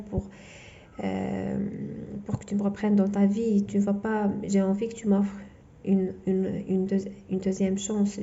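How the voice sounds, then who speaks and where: Gravelly, dark-voiced woman tarot reader. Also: mid-range voice, muffled recording. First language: not French. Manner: halting, many fillers and restarts. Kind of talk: tarot reading to camera.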